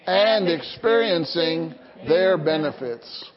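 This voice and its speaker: Slow, prayerful male pastor. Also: on the high side, animated, big-room sound, dull audio, a crowd in the background. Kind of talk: worship leading and church confession. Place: church sanctuary.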